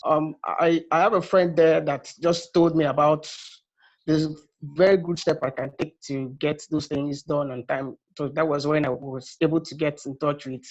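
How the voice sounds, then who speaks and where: Halting Nigerian man on a video call. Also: on the high side. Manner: hesitant, halting with many fillers. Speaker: prospective graduate student. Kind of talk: self-introduction on a video call. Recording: muffled video call audio.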